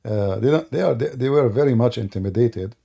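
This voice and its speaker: A man's voice: mid-range voice, brisk, gravelly, warm tone, slightly rough. A man hosting a live call-in stream, talking emphatically in non-native grammar and laughing as he mocks opponents. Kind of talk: casual live call-in chat.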